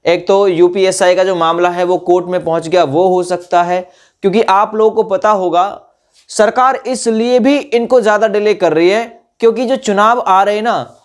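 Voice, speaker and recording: A young man giving an informal explanatory update to students, conversational and repeating points for emphasis with frequent tag questions. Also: high-pitched. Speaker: exam-news YouTube presenter.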